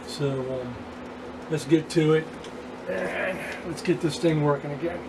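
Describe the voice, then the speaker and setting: A man giving a casual hands-on repair walkthrough, speaking haltingly with pauses in mid-sentence. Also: fairly high pitch, creaky, noisy background. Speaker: vlogger repairing vintage radios.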